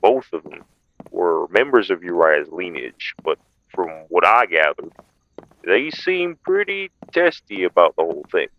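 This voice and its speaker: Man acting a deep-voiced, weary vampire hunter. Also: very animated, unhurried, full character voice. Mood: frustrated. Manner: matter-of-fact, weary reporting. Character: frustrated, businesslike male vampire hunter on assignment. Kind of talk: audio roleplay acting. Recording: dull, muffled lo-fi sound.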